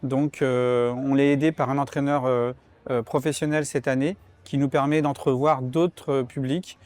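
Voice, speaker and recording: A man's voice: mid-range voice, flat delivery, moderate pace, a little mellow, dry recording. Hesitant man in a dry-sounding interview. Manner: hesitant, searching for words. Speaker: sports club representative. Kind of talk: unscripted interview.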